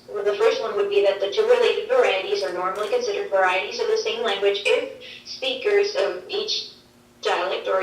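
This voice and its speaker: Woman on a roomy, distant video call. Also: medium pitch. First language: Swedish. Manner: measured, explaining with examples. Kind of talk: explanatory answers on linguistics. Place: played through a wall screen in a dim room. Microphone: video call heard over speakers. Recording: roomy, distant playback from a screen.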